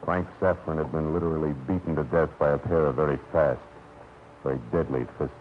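An actor playing a tough, wisecracking private detective who also narrates, in 1940s radio drama style, sardonic and hard-boiled. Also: male voice, a bass voice, steady tone, a little fast, a little hiss or hum. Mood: narrating. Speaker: narrator and lead. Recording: lo-fi vintage radio broadcast.

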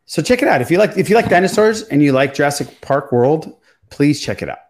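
A man's voice: fairly high pitch, lively intonation, a little fast, a little mellow. A man hosting a pop culture podcast, chatting informally and swearing now and then. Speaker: podcast host.

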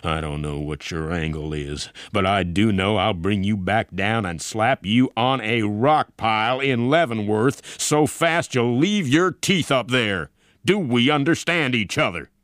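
Man playing gruff, barking army general. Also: fairly low pitch, a little slow, a bit bright, dry recording, studio quality, voice pushed up. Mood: angry, threatening. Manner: barking, blunt, commanding. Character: gruff, bullying, hot-tempered male army general.

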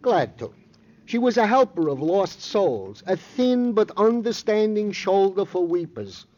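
A man's voice: high-pitched, animated, unhurried, warm tone. A man playing a fussy, easily wounded police clerk in a vintage radio drama, pompous, plaintive and flowery in his wording. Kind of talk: 1950s American radio crime drama acting. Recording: muffled old radio recording.

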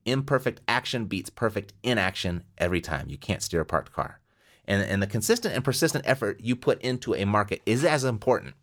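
Low-voiced motivational podcast host man. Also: expressive, brisk. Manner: motivational, repeats phrases for emphasis. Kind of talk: energetic podcast monologue and interview.

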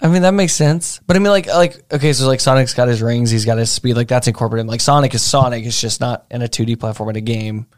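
A man's voice: medium pitch, fast, hardly any pauses, no echo, clean studio sound. A man co-hosting a podcast in casual chat, slangy and hesitant, often breaking off his own sentences. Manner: slangy, hesitant, self-interrupting. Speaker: podcast co-host.

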